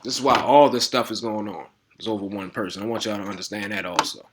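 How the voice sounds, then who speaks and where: A man with giving a casual lecture to viewers. He reads text aloud haltingly, with restarts, and keeps asking "all right?". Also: on the low side, a little hiss or hum. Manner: talks informally, often asking "all right?". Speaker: video host.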